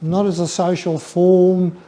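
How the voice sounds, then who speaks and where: An older Australian man giving an informal public talk, reflective and hesitant, often stammering over the starts of phrases in a flat, dull voice. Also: high voice, slow. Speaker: guest speaker, former museum photography curator.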